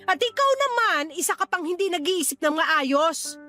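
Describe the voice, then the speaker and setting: High-pitched, scolding Filipino older sister. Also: female voice, animated, moderate pace, pushed and bright, exaggerated voice. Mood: furious scolding. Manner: stern, interrogating, scolding. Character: angry, scolding older sister who supports her siblings. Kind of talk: Tagalog radio drama acting. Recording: faint music behind the voice.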